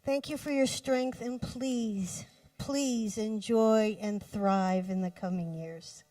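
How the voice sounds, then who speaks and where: A woman reading her own poems live, with measured, deliberate phrasing and pauses between lines. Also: medium pitch, steady tone, dark tone, no room sound. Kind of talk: live poetry reading with brief spoken introductions. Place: cafe poetry reading. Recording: distant microphone at live reading.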